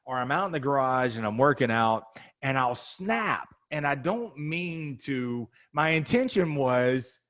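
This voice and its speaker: A man's voice: medium pitch, expressive, a bit bright. Conversational male podcast host. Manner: conversational, halting restarts, reflective. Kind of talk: casual interview chat.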